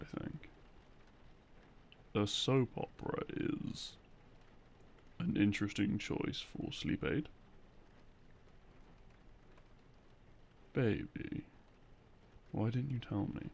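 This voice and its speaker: Gravelly, hushed boyfriend, acted by a man. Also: on the low side, slow, long pauses. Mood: gently questioning. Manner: soft, hushed, close and coaxing. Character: loving boyfriend, gently teasing, a little jealous. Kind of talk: intimate ASMR boyfriend roleplay. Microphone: close binaural microphone. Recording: close binaural recording, faint noise.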